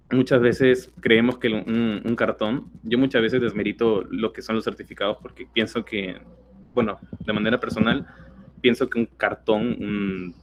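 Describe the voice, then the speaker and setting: Peruvian teen explaining, low voice. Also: male voice, slight background noise. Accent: Peruvian Spanish. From Peru. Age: teens. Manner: explanatory, many fillers and restarts. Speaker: guest speaker. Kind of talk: webinar talk.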